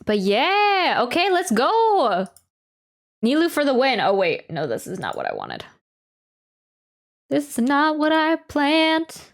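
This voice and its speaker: A woman's voice: high voice, wildly swooping pitch, slow, long pauses. A woman streamer reacting casually and talking with her viewers in informal, slangy language. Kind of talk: casual livestream reaction chat.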